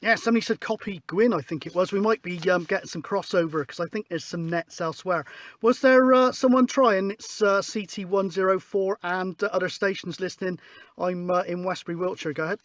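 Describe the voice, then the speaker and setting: A high-pitched male voice works the CB radio, calling for other stations in a relaxed, informal style. He talks like a matey hobby operator leading the exchange. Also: brisk. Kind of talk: casual radio calling and chat. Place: outdoors on a hillside in Wiltshire, at a CB radio set.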